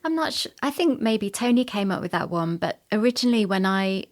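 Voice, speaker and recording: A woman's voice: mid-range voice, expressive, a little fast. A middle-aged woman talking conversationally as an interview guest. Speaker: guest, sound designer and producer.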